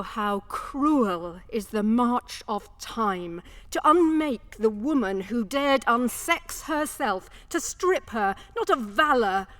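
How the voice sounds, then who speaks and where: A woman playing a tormented, sleepless Shakespearean noblewoman in a theatrical, declamatory soliloquy of archaic verse. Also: fairly high pitch. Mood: bitter lament.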